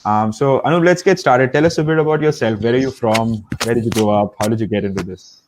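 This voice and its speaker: A man's voice: mid-range voice, quick pace, background noise. A man hosting an interview over a video call, asking enthusiastic, rambling questions with fillers. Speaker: host. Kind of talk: podcast interview.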